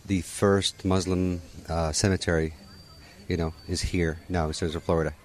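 A man from Morocco, answering questions at an outdoor holiday gathering in a relaxed, conversational way, often saying "you know" and restarting his sentences. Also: deep voice, moderate pace, slight background noise.

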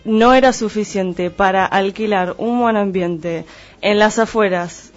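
Dull, lo-fi audio carries a mid-pitched Argentine Spanish voice. The speaker explains in a conversational but didactic way, clarifying terms one step at a time. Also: a little slow.

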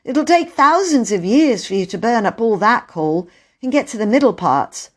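A woman plays a young boy from a storybook, proud and stubborn. He sounds earnest and a bit huffy, always justifying himself. His pitch moves about a great deal. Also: expressive, moderate pace. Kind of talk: storybook character voice.

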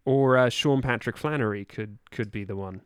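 A man's voice: mid-range voice, normal speed, clean tone, no room sound, studio quality. A man speaking as a podcast guest in casual chat, good-humoured and rambling, often hesitating as he works through a thought.

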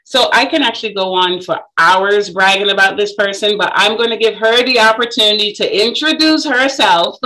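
A woman hosts a podcast interview with a bright, forward voice. She talks in an effusive, admiring way and laughs readily as she introduces her guest. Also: a little high, poor audio. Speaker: podcast host. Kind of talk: upbeat interview hosting.

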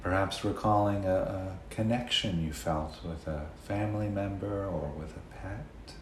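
A man leading a guided meditation in a gentle, unhurried way, leaving long pauses between phrases. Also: sits low. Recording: roomy echo, faint background noise.

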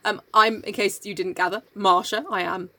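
A woman's voice: medium pitch, steady tone, moderate pace, noisy background. A woman co-hosting and editing a podcast, a little congested from a cold, chatting playfully and laughing often. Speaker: podcast co-host and editor. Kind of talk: casual chat.

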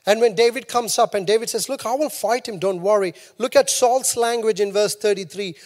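A man's voice: sits high, fairly quick, a little mellow. A man preaching a sermon as pastor, emphatic and fond of repeating his key lines for effect. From New Zealand. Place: church hall. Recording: long hall reverb.

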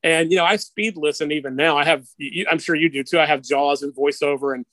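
Male interview guest, middle-aged, slightly high-pitched, dry sound; conversational, candid storyteller. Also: a little fast, fairly bright tone, no echo.

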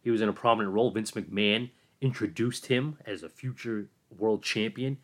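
A man's voice: on the low side, normal speed, a bit bright. A man giving an enthusiastic, opinionated solo recap of a wrestling event, talking casually with some fillers. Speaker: wrestling commentator.

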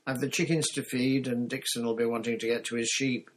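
A man's voice: mid-range voice, little pitch movement, fairly quick, a little hiss or hum, close to an everyday voice. A man voicing a kindly, plain-spoken country farm woman with homely rustic phrasing. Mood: homely, wistful.

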